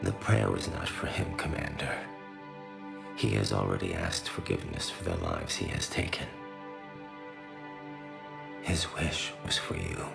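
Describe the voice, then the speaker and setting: Gravelly, solemn young alien. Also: male voice, sing-song, music in the background, full character voice. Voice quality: very deep, heavily gravelly. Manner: measured, solemn, polite. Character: grieving young alien son, reverent, formal, composed.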